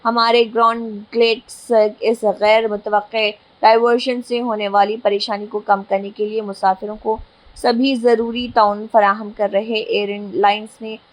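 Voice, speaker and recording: A woman's voice: medium pitch, little pitch movement, unhurried, low-quality recording. Female newsreader, middle register, formal scripted bulletin delivery, slightly stumbling here and there. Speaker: news presenter. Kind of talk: scripted news narration.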